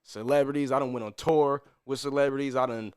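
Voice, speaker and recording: A man's voice: mid-range voice, fairly even intonation, fairly quick, smooth. A man in his thirties, a podcast guest telling his story in a boastful, profane, hyped-up way. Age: 30s. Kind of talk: casual interview chat.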